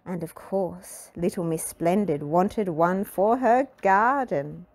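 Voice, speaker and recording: Slow, low-pitched woman reading a storybook. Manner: expressive, deliberate. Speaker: storybook reader. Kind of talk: scripted children's storybook narration.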